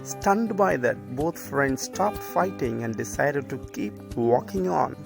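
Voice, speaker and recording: A man's voice: medium pitch, expressive, slow pace, vocal fry. A man narrating a scripted moral story for children. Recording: music behind the voice. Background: music.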